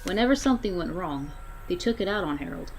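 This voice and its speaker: A woman narrating a scary story aloud in a theatrical way, with deliberate dramatic pauses. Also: on the low side, animated. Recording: clearly noisy background.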